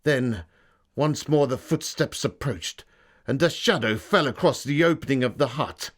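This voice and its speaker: A man voicing an earnest Victorian gentleman, reading his diary as a solemn, dramatic literary storyteller. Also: medium pitch, animated, measured pace. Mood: tense, hushed.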